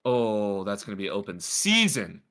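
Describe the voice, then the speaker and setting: Guy w a stuffy nose breaking down a game replay, kinda low voice but bright and forward, lots of ums. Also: sing-song, moderate pace. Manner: chatty, lots of filler words. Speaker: game coach. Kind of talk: casual gameplay review.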